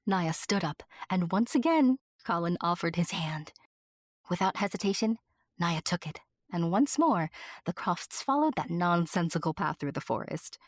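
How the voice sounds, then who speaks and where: A woman giving scripted fairy-tale narration, addressing the listener with deliberate dramatic pauses. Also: on the low side, expressive, dry recording, clean studio sound. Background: music.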